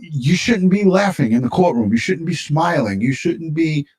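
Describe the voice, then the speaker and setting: A man's voice: fairly high pitch, animated, moderate pace, darkish tone, breathy edge. Opinionated man commenting on crime cases. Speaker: live stream panelist. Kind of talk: casual true-crime commentary.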